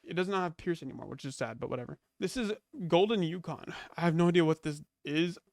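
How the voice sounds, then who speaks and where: A man's voice: on the high side, fast talker, gravelly, darkish tone, dry recording, clean studio sound. A young man recovering from a cold, rambling casually with lots of fillers as he goes over game leaks. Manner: rambling, many fillers and restarts. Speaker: gaming YouTuber. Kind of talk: casual commentary reviewing leaks.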